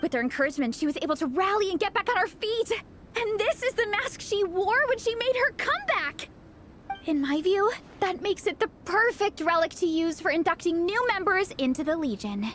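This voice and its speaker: Game casting: devoted young fangirl, earnest and enthusiastic, very high animated voice, music underneath. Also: a little fast, a little hiss or hum, heavily acted. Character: eager, earnest young girl, devoted storybook fan and club founder. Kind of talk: video game voice acting. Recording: music behind the voice.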